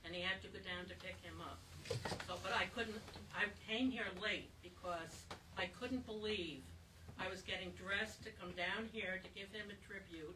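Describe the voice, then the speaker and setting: An older woman with a loud voice, telling a personal story to a small audience. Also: medium pitch, normal speed. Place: small historic house meeting room. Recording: distant mic with background noise.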